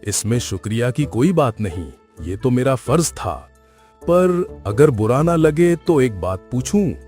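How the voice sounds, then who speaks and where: A man's voice: medium pitch, very animated, a little slow, a little mellow, faint music behind. A man playing a kind, courteous father billy goat in a cartoon story, speaking politely and earnestly. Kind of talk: Hindi cartoon story acting.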